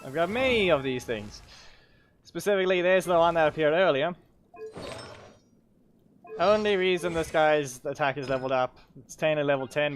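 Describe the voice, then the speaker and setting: A man with a fairly high voice talks casually through a game as he streams. He rambles, corrects himself and drops into muttered asides. The audio carries faint noise and clicking. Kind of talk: casual gameplay commentary.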